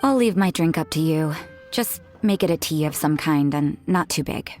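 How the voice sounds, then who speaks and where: A woman's voice: low-pitched, animated, normal speed, a little mellow, faint music behind. An actress playing an aloof, blunt young woman who speaks dryly and curtly. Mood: flat. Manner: dry, curt. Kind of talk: game cutscene voice acting.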